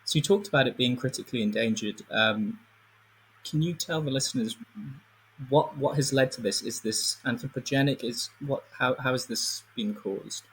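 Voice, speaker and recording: A man's voice: medium pitch, moderate pace, a bit bright, breathy edge, low-quality recording. A man hosting a podcast interview, asking friendly questions with a few fillers. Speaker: podcast host, assistant editor.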